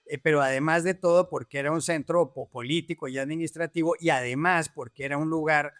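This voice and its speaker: A man's voice: fairly high pitch, fairly even intonation, a little fast, fairly bright tone, no room sound, studio quality. Man explaining as a podcast guest. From Colombia. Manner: explanatory, with fillers and repeated words. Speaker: guest, journalist and author. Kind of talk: casual podcast interview.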